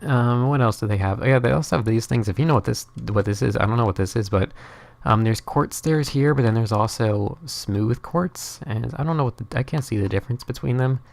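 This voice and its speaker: A man's voice: medium pitch, a little fast, creaky, darkish tone, no echo. A young man whose first language is Norwegian, giving casual, rambling gameplay commentary full of fillers and restarts. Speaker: gaming channel host.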